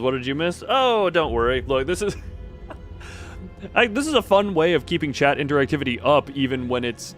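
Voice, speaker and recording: A man hosting a game livestream, chatting casually, reading dialogue aloud and often breaking off to muse. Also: medium pitch. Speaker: livestream host playing a game.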